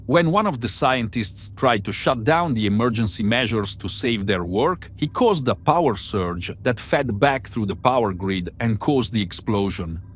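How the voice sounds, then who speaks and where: Man acting a precise, animated starship science man. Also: medium pitch, moderate pace, few pauses, a little hiss or hum, natural voice. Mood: explanatory. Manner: precise, methodical explaining. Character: analytical male starship crew member explaining scientific findings. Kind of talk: amateur sci-fi audio drama acting.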